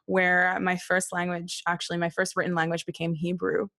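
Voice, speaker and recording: A woman's voice: fairly low pitch, completely flat delivery, normal speed, pushed and bright, dry recording, clean studio sound. A young woman from Australia, telling her family story as an interview guest in a chatty, rambling way with frequent laughs.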